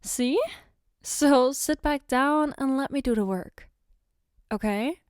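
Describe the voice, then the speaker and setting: A woman playing an affectionate, gently teasing girlfriend in a soft-spoken ASMR roleplay, tender and reassuring. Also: high voice, smooth, no room sound, clean studio sound. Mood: playfully reassuring. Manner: tender, reassuring, playfully coaxing, unhurried. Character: affectionate, gently teasing girlfriend doing her partner's makeup.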